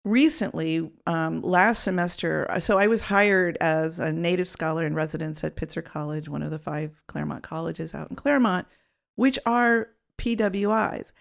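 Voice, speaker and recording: A woman with a fairly low voice answers questions in a casual interview, feeling her way through each thought. She pauses often, restarts her sentences and fills the gaps with ums, never hurrying. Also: creaky. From Tucson, Arizona. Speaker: interview guest, artist.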